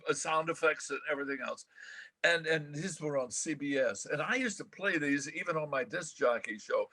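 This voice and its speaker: An older American man with a husky voice, reminiscing casually as a veteran broadcaster in a halting, rambling, animated way. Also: on the high side, no room sound. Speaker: veteran radio broadcaster, guest. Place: remote video call.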